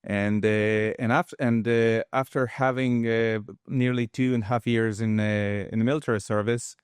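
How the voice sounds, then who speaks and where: A man in his fifties from Russia, a guest expert speaking in a measured, halting way with frequent restarts. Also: lowish voice, fairly even intonation, clear, resonant tone, dry recording, clean studio sound. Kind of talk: casual interview talk.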